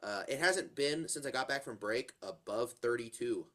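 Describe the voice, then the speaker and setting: A man's voice: mid-range voice, animated, normal speed, bright, forceful tone. Congested young man hosting sports chat. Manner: rambling, many fillers and restarts. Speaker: podcast co-host.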